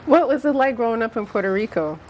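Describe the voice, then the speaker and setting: Higher-voiced woman interview host. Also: animated, fast talker, slight background noise, poor audio. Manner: friendly, prompting questions. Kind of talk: interview questions.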